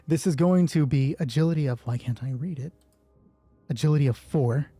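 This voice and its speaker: A man's voice: on the high side, brisk, mellow, slightly rough, no echo. A man game mastering a solo roleplaying game, thinking aloud through dice rolls in casual, sweary commentary.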